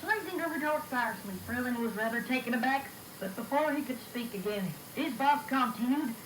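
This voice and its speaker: A woman's voice: fairly high pitch, animated, a little fast, pitched below the performer's own voice, lightly acted. A woman voicing a cartoon pony who reads a fan-fiction story aloud, on an old reel-to-reel tape. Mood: storytelling. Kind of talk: scripted fan-fiction narration. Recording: echoey, noisy reel-to-reel tape.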